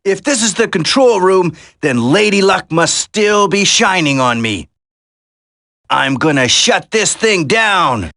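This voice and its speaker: Determined secret agent, earnest, emphatic, unhurried, dry close recording, video game hero. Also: male voice, high voice, animated, gravelly, sharp tone, no room sound, heavily acted. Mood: defiant. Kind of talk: dramatic video game voice acting.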